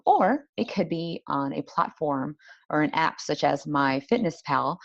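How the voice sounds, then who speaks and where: A woman with a low-pitched voice gives an academic talk for an online conference. She explains step by step like a language instructor and poses questions as she goes. The sound is a little dull and lo-fi. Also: no echo. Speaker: presenter, university Italian language teacher. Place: indoor room, online symposium talk.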